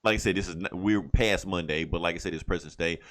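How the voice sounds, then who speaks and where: A man's voice: low voice, fast talker. A man from the United States hosting a casual podcast, telling stories in a joking, profane way. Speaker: comic book podcast host.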